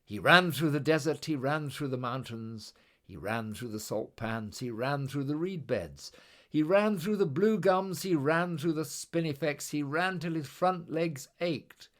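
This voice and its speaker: Slow, very expressive male storyteller. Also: on the high side. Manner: expressive, incantatory repetition. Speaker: storybook reader. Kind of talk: dramatic, rhythmic scripted story narration.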